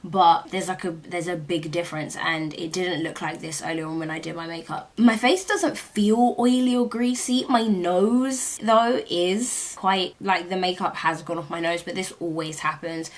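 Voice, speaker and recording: A young woman with a British accent talks straight to camera about a product she has tried. Her voice sits low and a little deep, and she sounds thoroughly worn out after a long day. She rambles casually, peppering her sentences with "like". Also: normal speed, hardly any pauses. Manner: chatty, rambling, many "like" fillers. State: exhausted late at night. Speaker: beauty vlogger.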